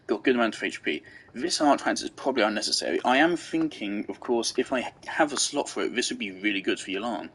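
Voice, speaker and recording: A man's voice: mid-range voice, lively intonation, fast, hardly any pauses, a bit bright, breathy edge. A man giving casual gameplay commentary, rambling and thinking aloud as he goes. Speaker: game streamer.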